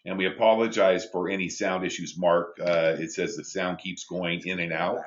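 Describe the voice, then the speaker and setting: A man with a big booming voice hosting a live business training call from a small studio, reading out viewer questions and explaining sign-up steps. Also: flat delivery, normal speed, roomy sound, lo-fi sound. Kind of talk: live webinar training talk.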